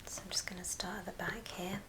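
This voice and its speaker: A woman whispering a gentle, step-by-step ASMR craft tutorial in a soft, breathy voice. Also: fairly low pitch, little pitch movement. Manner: unhurried, gentle step-by-step instruction. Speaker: ASMR host demonstrating a craft. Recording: clear background hiss and clicks.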